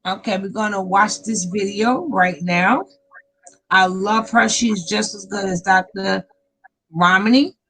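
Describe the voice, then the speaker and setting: A woman hosting a casual live panel stream over a video call, animated and laughing often. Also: medium pitch, a little slow, husky, rough recording. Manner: animated, laughing, talks up a storm.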